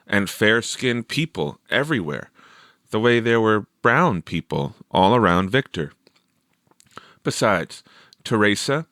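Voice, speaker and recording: A man reading a short story aloud as expressive scripted narration. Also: fairly low pitch, no echo, studio quality. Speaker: audiobook reader.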